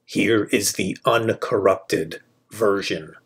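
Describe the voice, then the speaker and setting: A man narrating a scripted video about a video game, in short, measured phrases. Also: fairly low pitch, slight background noise.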